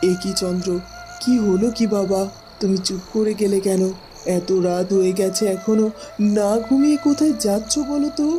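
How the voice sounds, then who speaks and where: A woman voicing a caring royal mother in a fairy-tale cartoon, speaking gently and solicitously. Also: mid-range voice, normal speed, faint music behind, close to an everyday voice. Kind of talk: Bengali cartoon voice acting.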